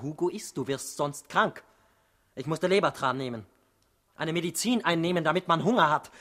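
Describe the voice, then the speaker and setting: A touchy proud young idealist in a radio play, high tense voice, well-spoken and intense. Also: male voice, sharp tone. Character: earnest, proud, sensitive young bourgeois party idealist. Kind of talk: German radio drama acting.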